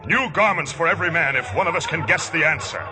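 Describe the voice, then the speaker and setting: An actor playing a proud, resentful warrior in a 1950s radio drama, agitated and theatrical. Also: male voice, on the high side, fast talker, close to an everyday voice. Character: proud, resentful warrior captain, jilted suitor. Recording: old radio broadcast with music.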